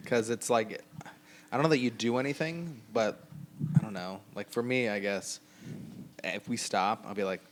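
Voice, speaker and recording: A man's voice: fairly low pitch, normal speed, pauses often. A man who plays in a band, chatting casually on a podcast in a hesitant, joking way. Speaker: band musician. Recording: faint background noise.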